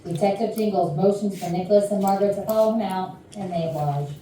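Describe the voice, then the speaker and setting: A woman's voice: medium pitch, fast talker, warm tone. A woman narrator reading stage directions at a staged reading of a play. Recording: very echoey room, distant mic, faint noise.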